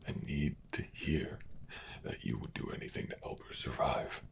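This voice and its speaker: Man acting very deep, gravelly dying miner. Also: wildly swooping pitch, exaggerated voice. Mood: weak, earnest. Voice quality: gravelly, breathy rasp. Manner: weak, halting, half-whispered pleading. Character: dying miner mutated by dark magic, weary, pleading. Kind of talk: fantasy audio drama acting.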